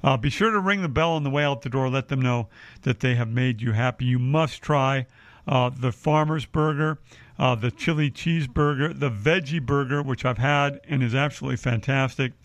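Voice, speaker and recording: A man's voice: mid-range voice, normal speed, no echo. A man hosting a live radio shopping show, reading deals and taking calls, with lots of uh fillers. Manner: chatty, many uh fillers. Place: radio studio.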